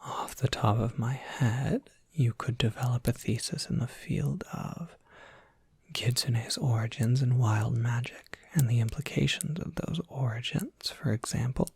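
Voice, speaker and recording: An ASMR performer playing a courteous, soft-spoken university professor, whispering in formal, measured phrases with a breathy, husky voice. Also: medium pitch, no room sound, full character voice. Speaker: professor overseeing a college major.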